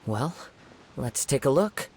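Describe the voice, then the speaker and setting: Gravelly, calm young wandering swordsman. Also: medium pitch, a little hiss or hum. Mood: pensive. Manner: measured, formal, contemplative. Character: calm, thoughtful young male wandering swordsman, formal and perceptive. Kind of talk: video game voice acting.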